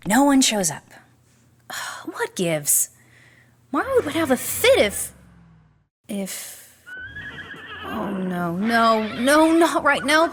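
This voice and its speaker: An actress playing an earnest, flustered young female beastkeeper with a nervy, animated delivery in a fantasy audio drama. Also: high-pitched, background noise, exaggerated voice. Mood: dismayed.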